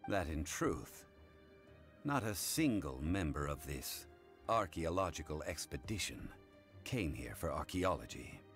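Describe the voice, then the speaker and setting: Calm scholarly gentleman, very low voice, expressive intonation, formal, deliberate, unyielding game character. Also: soft background music, heavily acted. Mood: composed, probing. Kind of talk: English game voice acting.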